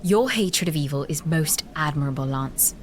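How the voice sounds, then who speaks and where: An actress playing a gracious, animated queen in an audio drama, speaking in formal, consoling phrases. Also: low-pitched, moderate pace, a little hiss or hum. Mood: tender, consoling.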